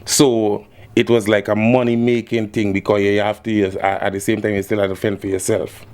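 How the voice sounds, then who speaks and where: Laid-back, low-voiced Guyanese man. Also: moderate pace. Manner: relaxed, laid-back, repeats "yeah, man". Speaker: guest musician. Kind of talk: casual interview.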